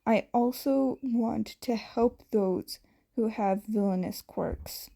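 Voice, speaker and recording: Earnest unsure schoolboy read by a woman, gentle mellow tone, storybook dialogue. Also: on the high side, takes it slowly, vocal fry, heavily acted. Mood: hesitant, earnest. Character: hesitant, earnest schoolboy longing to help.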